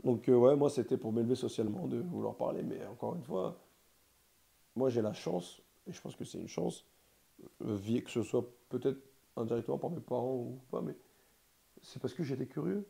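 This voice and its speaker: A man's voice: mid-range voice, lively intonation, moderate pace, gravelly, dark tone. A man in casual conversation, talking in a rambling, emphatic way with lots of slang. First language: Portuguese heritage, quasi bilingual.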